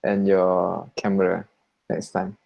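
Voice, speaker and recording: A Malaysian man lecturer chatting in an online class, halting and repeating his words. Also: sits low, completely flat delivery, gravelly, dull audio. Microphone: video call.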